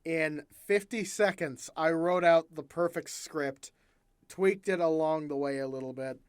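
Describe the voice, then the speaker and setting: Relaxed chatty young man, dry close sound. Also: a little high, a little slow, clean tone, no echo. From American South. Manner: relaxed, chatty, laughs often, many fillers. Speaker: podcast host. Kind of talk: casual chat.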